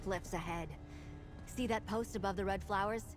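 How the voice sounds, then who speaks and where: A woman's voice: mid-range voice, moderate pace, over music, lightly acted. A woman voicing a dry, practical mage companion. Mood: matter-of-fact. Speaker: game character. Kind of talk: video game dialogue.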